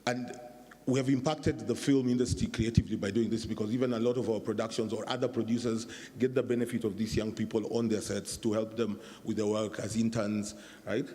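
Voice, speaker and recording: Guy on a panel, mellow lowish voice, big hall echo. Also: flat delivery, fairly quick. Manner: repeats words while thinking, casual asides. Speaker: industry executive on a panel. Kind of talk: panel talk. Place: conference hall. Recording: long reverb of a hall.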